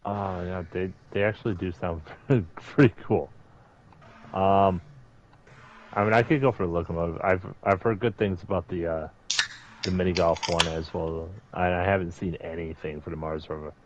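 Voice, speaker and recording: A man chatting casually while playing a game, relaxed and easygoing. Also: deep voice, moderate pace, highs cut off, noisy background. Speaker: guest player. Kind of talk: casual gaming chat.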